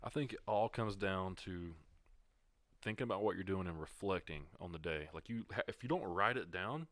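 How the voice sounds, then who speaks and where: A man's voice: deep voice, lively intonation, moderate pace, a bit bright, dry recording. A man in his twenties, a podcast guest, talking candidly and reflectively in casual chat. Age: 20s. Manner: candid, self-reflective storytelling.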